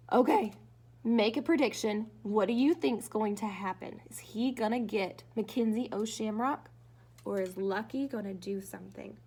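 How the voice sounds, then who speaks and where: A woman's voice: on the high side, lively intonation, a little slow, warm tone, slightly rough. A woman reading a storybook aloud as a teacher to children, expressively and dramatically, coaxing them with questions.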